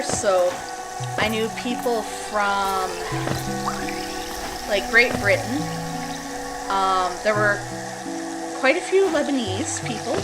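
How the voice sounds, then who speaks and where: A woman streamer in her thirties, from western Canada, talking easily to her viewers. She drifts from thought to thought and fills the gaps with "like" and "um". Loud music plays behind her throughout. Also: medium pitch, low-quality recording. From Canada (Alberta). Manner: rambling, many fillers like "like" and "um". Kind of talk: casual chat with stream viewers. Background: loud background music.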